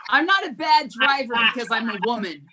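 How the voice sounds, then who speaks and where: A woman performing stand-up one-liners at an online open mic, with a slow deadpan delivery and giggles between jokes, heard over a video call. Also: on the high side, a little hiss or hum. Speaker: stand-up comedian.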